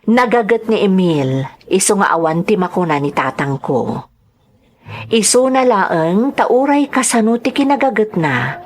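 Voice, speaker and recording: A woman's voice: medium pitch, lively intonation, a little slow. An actress playing a devoted, sentimental young wife and mother in an audio drama, speaking earnestly and emotively. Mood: wistful, reflective. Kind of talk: Ilocano audio drama, narration and dialogue.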